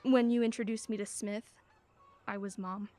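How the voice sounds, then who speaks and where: A woman playing a single mother in a radio play, teasing and gently probing her daughter. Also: medium pitch, fairly even intonation, normal speed, natural voice.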